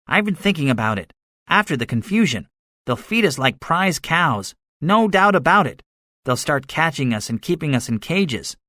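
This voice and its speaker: A man's voice: a little high, very animated, moderate pace, bright, forceful tone, no room sound, studio quality, pitched above the performer's own voice. A man voicing a cynical, boastful soldier survivor in a dramatized story, speaking emphatically with a swaggering manner. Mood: gloating, grim. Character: cynical, boastful male soldier, excitable survivor with grand schemes. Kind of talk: dramatized audiobook dialogue.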